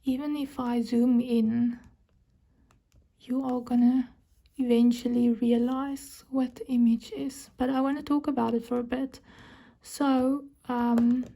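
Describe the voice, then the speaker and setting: A woman's voice: on the high side, flat delivery, takes it slowly, creaky, dark tone. A woman from South Africa with a South African accent, a native Afrikaans speaker, chatting casually while she works on a craft and often dropping to a whisper as she counts. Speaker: crafting vlogger.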